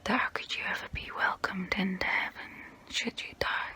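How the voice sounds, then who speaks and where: A woman playing a tormented, confessional female vampire in an ASMR role play, whispering softly and breathily up close to the mic. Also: natural voice. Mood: wistful, resigned. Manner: slow, hushed, deliberate, pausing between phrases. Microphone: close-up microphone.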